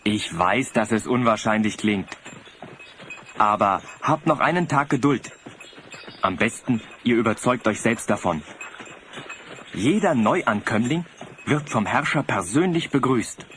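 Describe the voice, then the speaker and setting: An actor playing a naive, vain young sled driver in a German audio drama, earnest in delivery. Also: male voice, medium pitch, lively intonation, measured pace, pauses often, fairly bright tone. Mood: earnest, self-assured. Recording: slightly noisy cassette audio drama.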